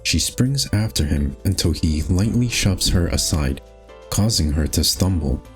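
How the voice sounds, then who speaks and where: A man with a low voice narrates over faint music. He reads a visual novel game aloud as scripted narration. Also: expressive, measured pace.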